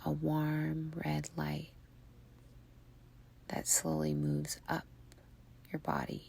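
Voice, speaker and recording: Hushed, creaky woman guiding a slow meditation. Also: low-pitched, completely flat delivery. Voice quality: breathy, near-whispered. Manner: soothing, hushed, unhurried phrasing with long pauses. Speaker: meditation guide. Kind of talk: guided meditation narration.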